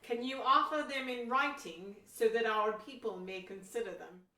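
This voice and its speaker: A woman's voice: fairly high pitch, normal speed, pushed and bright. A woman playing a devout, resolute Pilgrim pastor in a historical audio play, with earnest, formal old-fashioned phrasing. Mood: formal, interested. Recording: roomy echo, distant from mic.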